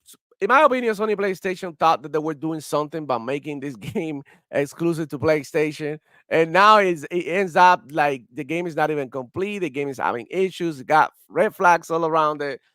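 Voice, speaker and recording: A man's voice: high-pitched, fairly bright tone, no echo, clean studio sound. A young man from Cuba with a heavy Cuban Spanish accent, rambling heatedly in casual live-stream commentary. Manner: rambling, heated, many fillers and restarts. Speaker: gaming streamer.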